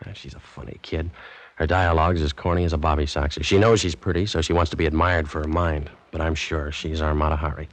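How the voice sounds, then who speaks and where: An actor playing a cynical, tough American wartime agent with clipped, slangy, world-weary delivery in 1940s radio drama style. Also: male voice, very deep voice, fairly even intonation, quick pace. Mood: weary, matter-of-fact. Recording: noisy old 1940s radio recording.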